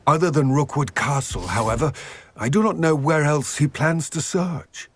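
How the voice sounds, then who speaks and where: An actor voicing an earnest, remorseful goblin in a video game, speaking formally and gravely. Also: male voice, a little high, no echo. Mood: solemn, reflective. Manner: measured, formal, solemn. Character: earnest, remorseful male goblin, wary of his ruthless kin. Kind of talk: dramatic video game dialogue.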